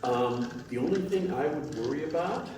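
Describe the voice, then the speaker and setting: A man's voice: a little high, lively intonation, normal speed. Man, conversational, earnest, heavy echo, faint noise. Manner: earnest, checking for agreement. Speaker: audience member giving critique. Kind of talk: conversational constructive feedback. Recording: very echoey room, distant mic.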